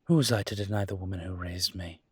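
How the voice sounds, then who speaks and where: Man playing a low, husky, charming young mafia boss. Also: quick pace, a little mellow, no room sound, clean studio sound. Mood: wry, fond. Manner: playful, smooth-talking, teasing. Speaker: voice actor in a roleplay audio. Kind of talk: intimate audio roleplay acting.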